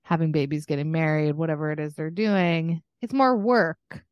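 An American woman in her thirties chatting casually as a podcast co-host, rambling and quick to laugh. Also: sits low, fairly even intonation, dry recording, lo-fi sound. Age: 30s. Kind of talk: casual chat.

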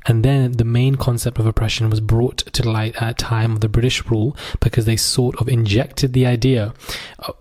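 A man's voice: medium pitch, level tone, normal speed, darkish tone. Emphatic man hosting a podcast. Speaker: podcast host. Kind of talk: conversational podcast monologue on social issues.

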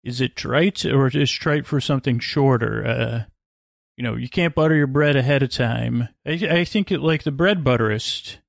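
A man's voice: mid-range voice, moderate pace, vocal fry, dry recording, studio quality. A man hosting a bedtime podcast in a meandering, stammering monologue, with a mumbling, mush-mouthed delivery. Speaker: podcast host. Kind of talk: rambling, tangent-filled bedtime podcast monologue.

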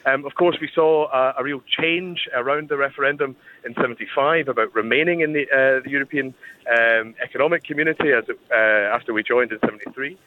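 A man with a Scottish accent, a historian guest explaining history on the radio in a measured, thoughtful way with hesitant pauses. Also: mid-range voice. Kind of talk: conversational expert explanation on radio. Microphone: phone line. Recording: tinny phone line with faint noise.

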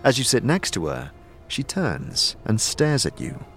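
A man's voice: medium pitch, huge pitch swings, a little slow, a bit bright, soft background music. A man narrating a scripted fantasy audio drama in the second person, as a storyteller. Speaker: narrator and lead player. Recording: faint background noise and ambience.

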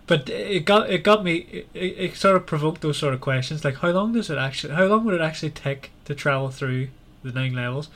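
A man's voice: fairly high pitch, expressive, a little fast, few pauses, fairly bright tone. A man hosting a casual book discussion in a conversational, questioning way. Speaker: podcast host.

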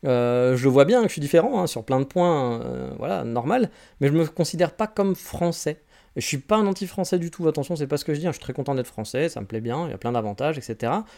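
Forties, male, from France; solo podcast host, quick and chatty, frank rambling advice. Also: on the high side, animated, vocal fry, warm tone. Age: 40s. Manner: candid, rambling advice with frequent restarts. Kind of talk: casual solo podcast answering listener questions.

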